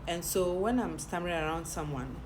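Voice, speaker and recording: A woman's voice: on the low side, a little fast. A woman talks frankly to camera in a personal monologue, often repeating words and restarting her sentences. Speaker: content creator and TV presenter. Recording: steady background noise.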